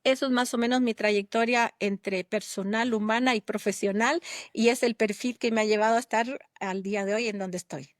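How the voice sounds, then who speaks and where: A middle-aged woman from Guatemala, a guest architect and urbanist talking through her career in a relaxed interview, thoughtful and often pausing on fillers. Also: a little high, no echo, studio quality. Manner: reflective, with many fillers and restarts.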